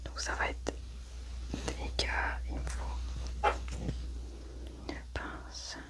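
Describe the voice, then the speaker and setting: A woman whispering in a breathy, unvoiced voice as a medical examiner in an ASMR roleplay, methodically narrating each step. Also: slow.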